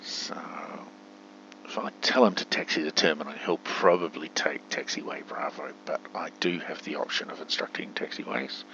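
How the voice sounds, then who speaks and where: A man with a deep voice that has a bright edge chats his way through a simulator game. He thinks aloud in a casual way and slips into spoken radio commands. The recording is dull, with faint hum behind him. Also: normal speed, vocal fry. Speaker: gamer narrating a simulator playthrough.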